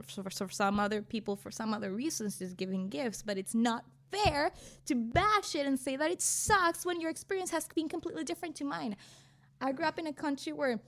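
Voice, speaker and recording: A woman from Venezuela, a podcast co-host, telling stories in a rambling, animated group chat. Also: fairly high pitch. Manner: animated, rambling storytelling with restarts.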